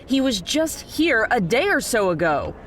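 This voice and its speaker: A woman's voice: a little high, big pitch swings, unhurried, a bit bright, slight background noise. A woman playing a fierce, distrustful, determined character in an audio drama, blunt and confrontational. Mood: angry, accusing.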